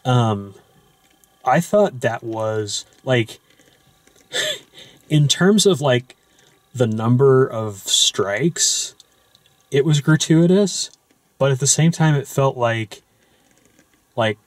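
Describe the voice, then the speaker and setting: A man's voice: medium pitch, expressive, very slow, pauses often, no echo. A young man chatting casually about a film in a parked car at night, talking loosely with many fillers and restarts. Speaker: movie discussion host.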